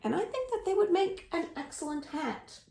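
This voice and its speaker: A young woman leading a children's storytime from home, reading stories and singing rhymes in a lively sing-song way. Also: very high voice, moderate pace, echoey room. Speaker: children's librarian.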